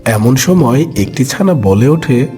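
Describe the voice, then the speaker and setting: A man's voice: mid-range voice, expressive, takes it slowly, mellow. Male cartoon storyteller over light music. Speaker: narrator. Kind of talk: scripted children's story narration.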